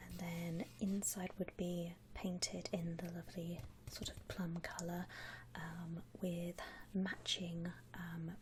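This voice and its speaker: A woman softly whispering in an ASMR roleplay as a polite, reassuring shop assistant helping a customer. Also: fairly low pitch, slight background noise. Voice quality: soft, breathy whisper. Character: courteous, obliging female coffin saleswoman.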